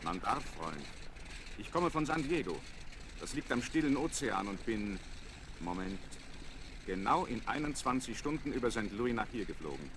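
A young pilot with a fairly low voice, breezy and sure of himself. He answers with dry irony, in radio-play style, over faint vinyl noise. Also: sing-song, normal speed. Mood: breezy, confident. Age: young adult. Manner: breezy, dryly ironic, unflappable. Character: self-assured, wry young male aviator. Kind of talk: German children's radio play acting.

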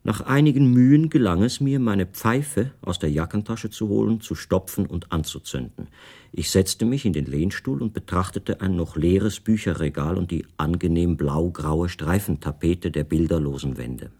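An actor playing a captive writer who recounts his confinement in measured, precise, detached literary narration for a radio play. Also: deep voice, dark tone. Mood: calm, observant.

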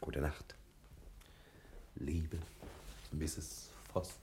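An actor playing a courteous, self-assured, scheming private detective in a 1960s German radio crime play, with a husky voice. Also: male voice, very deep voice, level tone, drawn-out words, darkish tone, a little hiss or hum, exaggerated voice. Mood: smooth, reassuring.

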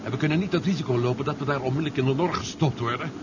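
A man acting a brisk, decisive adventurer in a radio drama. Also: mid-range voice, natural voice. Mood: weighing options. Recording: muffled, noisy old radio recording.

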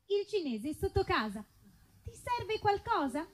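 A woman's voice: very high voice, big pitch swings, unhurried, faint music behind. A woman performing a mock advertisement in a live comic sketch.

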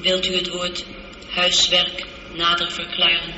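An actress playing a female spaceship computer in a radio drama, formal, measured, literal and calmly reassuring. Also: on the low side. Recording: dull, noisy, echoing old radio recording.

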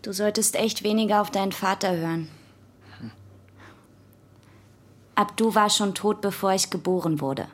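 An actress plays a sardonic teenage girl in a radio drama. Her voice sits at a medium pitch, and she speaks in a dry, blunt, challenging way with natural delivery. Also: a little fast, lightly acted. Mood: wary, blunt.

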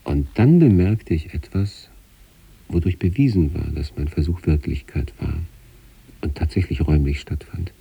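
An actor playing an introspective sanatorium patient, narrating eerie experiences in a measured, deliberate, literary radio style. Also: male voice, full character voice. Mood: calm, absorbed. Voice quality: husky, dark low voice.

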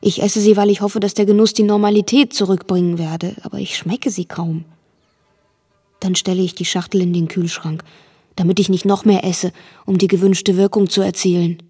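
An actress playing a nervous, watchful girl, narrating a radio drama in measured present tense with a husky voice. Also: medium pitch, level tone, warm tone, close to an everyday voice. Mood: watchful, uneasy.

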